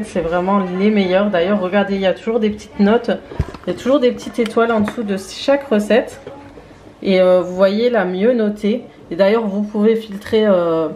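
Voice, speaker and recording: A tired woman talks casually to her viewers from her kitchen, hesitant and full of fillers. Her voice sits at a middle pitch. The room rings with echo under a faint hiss. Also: darkish tone. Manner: chatty, hesitant, many fillers. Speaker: vlogger. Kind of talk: casual vlog chat. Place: home kitchen.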